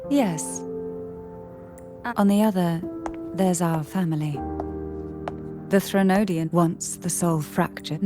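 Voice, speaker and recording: A lowish female voice from a fantasy game cutscene, the grave lady of a castle who measures every formal word and guards her secrets, score swelling behind her. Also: mellow. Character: poised, secretive noblewoman, grave and calculating. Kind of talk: video game cutscene acting.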